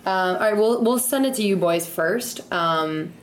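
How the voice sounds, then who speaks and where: A woman's voice: mid-range voice, unhurried. A young woman co-hosting a podcast in casual, slangy conversation. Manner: slangy, informal. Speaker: podcast co-host. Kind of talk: casual chat. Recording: noisy room.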